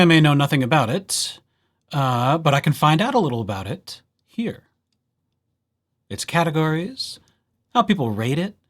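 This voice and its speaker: A man giving a casual software walkthrough as a librarian, in a playful, wry and chatty way. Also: fairly high pitch. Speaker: librarian presenting a tutorial series.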